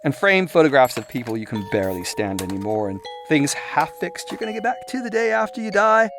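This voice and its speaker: A man's voice: on the high side, very animated, a little fast. A man reading a self-help audiobook in an irreverent, sweary, chatty way, with emphatic, theatrical phrasing. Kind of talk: scripted narration, second-person self-help monologue. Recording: music behind the voice. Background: musical soundscape.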